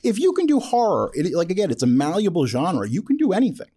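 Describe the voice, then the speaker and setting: A man with a soft-edged, fairly high voice hosts a casual podcast interview. His pitch moves around a lot as he rambles and jokes at his own expense. He swears casually along the way. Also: wildly swooping pitch, quick pace, husky. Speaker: podcast host interviewing a filmmaker. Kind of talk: casual, joking podcast chat.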